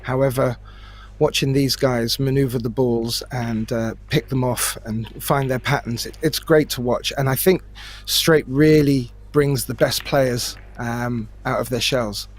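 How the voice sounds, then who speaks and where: A man giving conversational live pool commentary in measured phrases, often repeating a word as he thinks. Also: mid-range voice, fairly even intonation. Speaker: sports commentator. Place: remote commentary from his own location.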